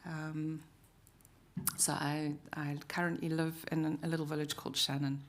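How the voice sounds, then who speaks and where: A woman with a South African accent leads a participatory workshop, her voice low and gravelly. She speaks in a halting, friendly way with many fillers and pauses. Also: flat delivery. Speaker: workshop facilitator. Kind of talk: live workshop facilitation. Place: university meeting room.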